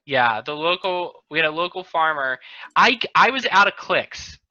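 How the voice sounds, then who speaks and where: A man's voice: fairly high pitch, expressive, moderate pace, pushed and bright, no room sound. A man hosting a casual group chat over a video call, often repeating and correcting himself as he goes. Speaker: podcast host. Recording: dull, lo-fi video call audio.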